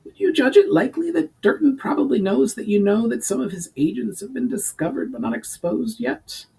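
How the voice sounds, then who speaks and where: A man's voice: very high voice, expressive, fairly quick, vocal fry, dark tone, husky, pitched above the performer's own voice, full character voice, rough recording. A man voicing a shrewd, scheming goblin strategist with an eager, calculating manner and formal diction, in a storybook reading.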